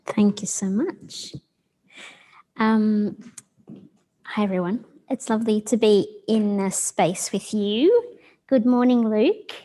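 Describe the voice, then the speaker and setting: A woman's voice: mid-range voice, slow pace, long pauses, warm tone. A woman co-hosting a live arts talk, speaking informally with frequent ums and restarts to a room of people. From Australia. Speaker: arts organisation leader, co-host. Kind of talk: casual spoken presentation at a live event.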